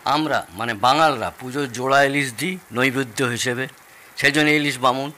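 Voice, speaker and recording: A man's voice: mid-range voice, normal speed, bright, forceful tone, natural voice. An actor playing a jovial, proud older Bengali father-in-law in an audio drama, explaining things expansively and with relish. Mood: pleased, explaining.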